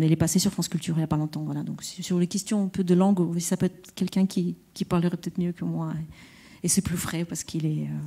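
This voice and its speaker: A woman poet and translator speaking at a round-table discussion, halting and searching for her words. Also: low-pitched, warm tone.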